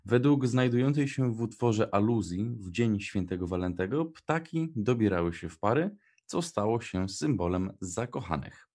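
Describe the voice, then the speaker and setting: Low-pitched man, young adult, radio co-host, narration and casual chat. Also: expressive, moderate pace, a little mellow.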